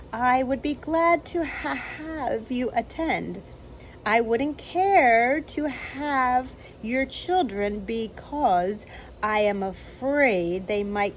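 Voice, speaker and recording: Woman reader doing a snooty neighbor lady, over-enunciated, emphatic, very slow. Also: high-pitched, a little hiss or hum, heavily acted, poor audio. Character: prim, condescending middle-aged woman neighbor.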